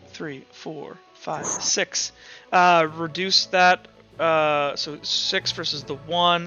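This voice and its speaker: A man's voice: a little high, bright, forceful tone, highs cut off. A man casually running a tabletop roleplaying game, rambling through rules and muttering as he counts and looks things up. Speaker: game master. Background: background music.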